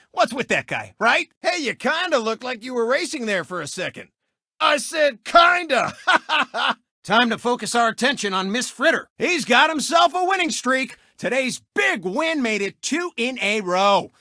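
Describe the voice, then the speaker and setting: A man's voice: very high-pitched, big pitch swings, unhurried, bright, forceful tone, no echo, studio quality, heavily acted. A man voicing a brash, gloating male race car who hosts a racing show as a cocky, mocking sportscaster in a video game. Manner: cocky, smug, showboating, mocking. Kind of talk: animated video game character commentary and taunts.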